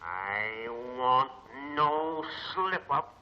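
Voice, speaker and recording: Slow, menacing crime boss. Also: male voice, mid-range voice, sing-song. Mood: menacing, commanding. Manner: slow, deliberate, commanding. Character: menacing male crime boss ordering henchmen by radio. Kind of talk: 1940s film serial acting. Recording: muffled 1940s film serial soundtrack.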